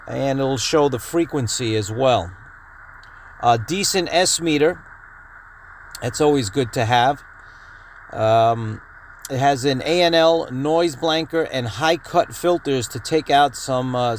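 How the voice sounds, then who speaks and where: Guy doing a casual gear rundown, matter of fact, medium pitch, bit of noise behind him. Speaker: host of a radio-hobby video channel. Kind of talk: casual product announcement and feature rundown. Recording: clear steady background noise.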